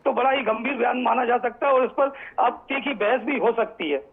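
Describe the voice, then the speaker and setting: Over a phone line, a man gives a halting, repetitive live news report as a correspondent. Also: extremely high pitch, vocal fry, breathy edge. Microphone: phone call. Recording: tinny, narrow phone line.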